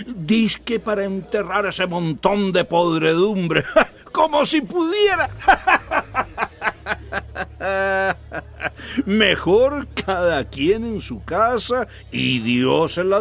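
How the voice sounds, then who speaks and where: An actor playing a mocking, jolly village busybody in a radio drama, with a Central American accent and a chuckling, teasing delivery. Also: male voice, high-pitched, a bit bright, exaggerated voice. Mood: gossipy, mocking.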